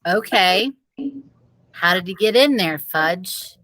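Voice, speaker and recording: Storybook schoolboy, acted by a woman: frantic, insistent, with a lively swooping pitch. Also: slow pace, no room sound, poor audio. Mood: coaxing. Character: frantic, accusing fourth-grade boy. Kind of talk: children's storybook read-aloud.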